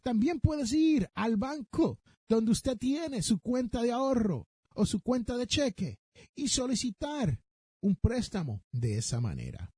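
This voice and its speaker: A man's voice: very high voice, very animated, measured pace, dark tone, slightly rough, no room sound, clean studio sound. A man with a Puerto Rican accent hosting a podcast, giving advice emphatically and straight to the listener, with some English terms mixed in. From Puerto Rico. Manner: emphatic, rhetorical questions, addresses listeners directly. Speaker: personal finance podcast host.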